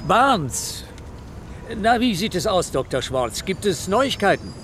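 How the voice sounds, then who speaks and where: An actor playing a brisk police inspector in a German radio drama, curt and inquisitive. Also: male voice, sits high, sing-song, noisy background, heavily acted. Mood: brisk, inquiring.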